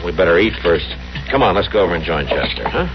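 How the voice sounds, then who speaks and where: A man's voice: sits low, very animated, fast, over loud music. An American man playing a stoic, weary, authoritative frontier marshal in a radio western, terse and dry. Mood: steady, practical. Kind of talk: old-time radio western acting. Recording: muffled, noisy vintage radio recording.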